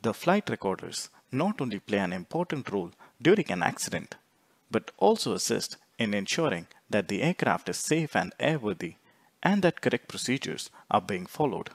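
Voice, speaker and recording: A man reading scripted instructional narration in a measured, explanatory way, phrase by phrase. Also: on the low side, big pitch swings, creaky, dry recording. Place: close, dry voice-over recording.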